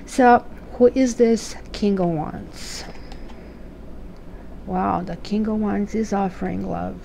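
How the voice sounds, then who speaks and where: A woman's voice: mid-range voice, fairly even intonation, slow, vocal fry, dark tone, slight background noise, low-quality recording. A woman from Croatia with a Croatian accent, reading tarot cards in a chatty, musing way and asking questions aloud. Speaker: tarot reader.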